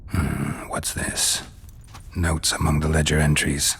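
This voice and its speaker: A man with an American accent playing a gruff, stoic, world-weary monster hunter in a video game, husky and deadpan in clipped phrases. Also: takes it slowly, heavily acted. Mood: terse musing. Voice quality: gravelly, husky. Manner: clipped, deadpan, low-key.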